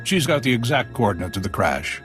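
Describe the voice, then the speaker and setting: An actor playing a harried, deferential outpost commander in a sci-fi video game, obliging and plainspoken. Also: male voice, medium pitch, sing-song, fairly quick, music in the background, close to an everyday voice. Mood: deferential, worried. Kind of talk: video game dialogue acting.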